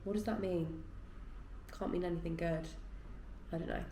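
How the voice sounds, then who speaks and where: A woman's voice: fairly low pitch, steady tone, normal speed, warm tone, echoey room, a little hiss or hum. Chatty woman vlogging at home. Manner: chatty and rambling, lots of "like" and "yeah". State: a bit tired and stuffy. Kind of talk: casual chatty vlog talk. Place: at home in her flat.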